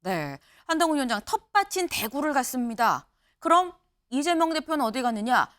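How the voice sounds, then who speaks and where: A woman's voice: sits high, big pitch swings, brisk, noisy background. A woman news anchor hosting a morning news talk show, chatty and laughing with her guests. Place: TV studio.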